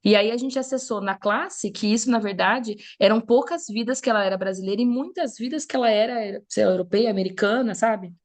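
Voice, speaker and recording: Fast-talking woman host. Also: on the high side. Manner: affirming, with short agreeing interjections. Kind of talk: casual conversation.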